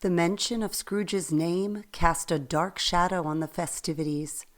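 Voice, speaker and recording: A woman narrating a radio play as a storyteller, reading in a measured way. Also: lowish voice, close to an everyday voice.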